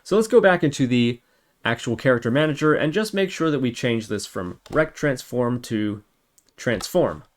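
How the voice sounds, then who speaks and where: A man's voice: mid-range voice, expressive, normal speed. A man hosting a programming tutorial, explaining each step methodically and thinking aloud as he works. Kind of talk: screen-recorded coding tutorial, explaining step by step.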